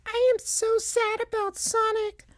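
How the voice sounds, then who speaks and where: Small cartoon boy, played by a woman: squeaky high pitch, mournful, childlike. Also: monotone, measured pace, dry recording, clean studio sound. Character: tiny sad cartoon child, male.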